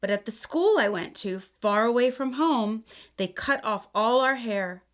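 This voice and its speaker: A patient grandmother from a picture book, read aloud by a woman. She tells of her childhood in a reflective way, in a slightly high voice. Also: lively intonation, unhurried, fairly bright tone.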